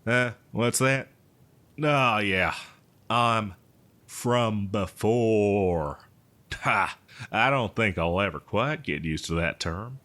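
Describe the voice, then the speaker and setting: A man's voice: fairly low pitch, pushed and bright. A man playing an eccentric, cheerful botanist who survived from before the apocalypse, rambling hesitantly in a folksy roleplay monologue. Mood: wry, hesitant. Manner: hesitant, rambling, folksy, with chuckles and drawn-out words. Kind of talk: roleplay audio drama monologue addressed to listener.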